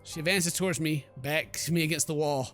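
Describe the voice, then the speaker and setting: A man's voice: a little high, moderate pace, a bit bright. A man hosting a let's play, reading the game's narration theatrically and breaking into slangy, joking asides. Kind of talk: reading game narration aloud with joking commentary. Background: game music.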